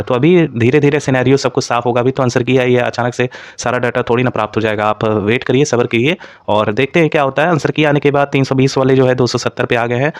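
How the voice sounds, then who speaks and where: A man's voice: mid-range voice, clear, resonant tone, no echo. A man presenting an informal exam analysis to online viewers, mixing in English terms and leaning on repeated filler phrases. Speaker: exam-prep channel presenter.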